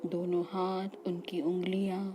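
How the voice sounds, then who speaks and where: A woman leading a guided meditation in soothing short phrases with long pauses between them. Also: fairly low pitch, monotone. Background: background music.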